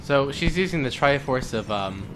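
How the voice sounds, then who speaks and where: A man's voice: medium pitch, animated, moderate pace, noisy background. A man giving casual, teasing commentary as a co-host on a video game playthrough. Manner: teasing, wry. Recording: game music clearly behind the voice. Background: video game music.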